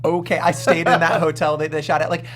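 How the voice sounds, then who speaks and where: A woman's voice: low-pitched, level tone, fast talker, a little hiss or hum. A woman co-hosting a film review show, chatting casually with emphatic, sarcastic exasperation. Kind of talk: casual animated panel chat.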